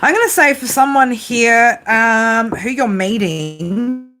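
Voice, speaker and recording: A woman's voice: a little high, lively intonation, takes it slowly, a bit bright, faint music behind. A woman giving a live tarot reading in a casual, chatty way, swearing freely. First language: English. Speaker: tarot reader.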